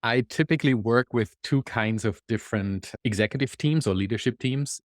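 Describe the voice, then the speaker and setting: A man with a German accent, a podcast guest, explaining his work in a thoughtful, measured way. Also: mid-range voice, no room sound, clean studio sound. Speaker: guest, executive consultant. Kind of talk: conversational interview.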